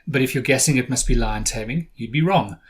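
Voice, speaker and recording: A man's voice: mid-range voice, fairly quick. Storytelling man hosting a podcast. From South Africa. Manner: storytelling with dry humor. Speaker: podcast host. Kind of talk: scripted podcast narration.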